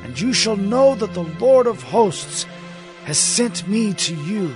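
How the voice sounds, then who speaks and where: High-voiced, awed prophet. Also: male voice, animated, slow pace, over music. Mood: proclaiming. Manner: earnest, dramatic, declaiming. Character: awed, earnest prophet recounting his visions. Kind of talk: dramatized audio Bible.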